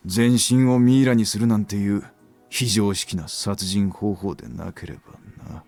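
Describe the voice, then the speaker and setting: A man's voice: on the low side, steady tone, quick pace, darkish tone, faint music behind, close to an everyday voice. An actor playing a brooding, analytical middle-aged male detective in a Japanese visual novel.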